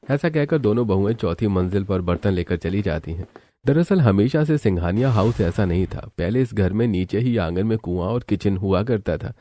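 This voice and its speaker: A man's voice: fairly low pitch, lively intonation, normal speed, dark tone, dry recording. A man narrating a scripted cartoon story as the storyteller.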